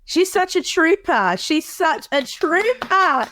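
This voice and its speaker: A woman co-hosting a podcast in casual chat, animated and quick to laugh, with dramatic asides. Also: extremely high pitch, slight background noise. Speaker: podcast co-host.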